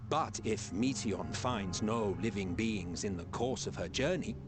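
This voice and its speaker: A man's voice: lowish voice, measured pace, close to an everyday voice. A man as a haughty, sardonic sorcerer. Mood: probing, grave. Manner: pointed, imperious, sardonic. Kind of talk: video game cutscene acting, theatrical formal speech. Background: music.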